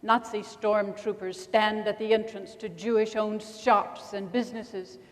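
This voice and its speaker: A woman acting as an earnest narrator in a staged documentary reading, with deliberate phrasing. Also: mid-range voice, fairly even intonation, natural voice. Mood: solemn. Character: earnest female narrator of historic notices and testimony. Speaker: stage reader in a dramatic reading. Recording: echoey hall with long reverb.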